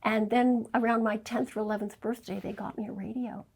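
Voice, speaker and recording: Gravelly-voiced older woman, candid. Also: on the high side, flat delivery, fairly quick. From Scotland. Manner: candid, reflective, with fillers. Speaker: interview guest, documentary filmmaker. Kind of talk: conversational interview.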